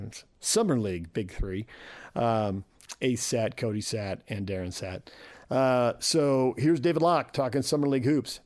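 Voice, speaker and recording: A man's voice: fairly low pitch, darkish tone, no room sound. American male sports radio host, dry sound. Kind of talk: casual radio hosting. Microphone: close studio microphone.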